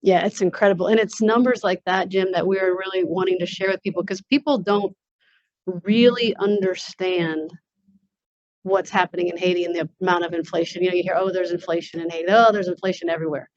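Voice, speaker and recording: A woman's voice: lowish voice, fairly even intonation, a little fast. A woman hosting at a symposium, responding to participants in an informal, unscripted way. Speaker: symposium speaker, network meeting host. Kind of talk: informal panel discussion and answers. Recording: dull lo-fi audio.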